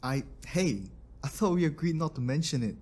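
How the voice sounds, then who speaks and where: A man's voice: on the high side, expressive, normal speed, background noise, lightly acted. A man playing a kindly, protective engineer and inventor in an audio roleplay, talking in a casual, reassuring way. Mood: flustered.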